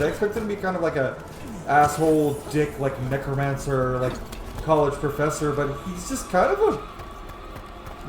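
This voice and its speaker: A man chats casually over his gameplay in a fairly high, animated voice. He reacts excitably and swears freely. The room is echoey, with clear noise behind him. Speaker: let's play host, gamer. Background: game sounds.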